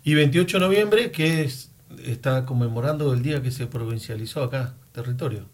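A man, reacting with quick exclamations and asking about dates in a casual chat. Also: medium pitch.

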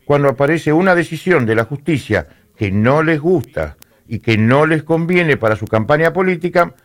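A man hosting a radio news segment in a studio, paraphrasing statements and leaning on fillers. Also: a little high.

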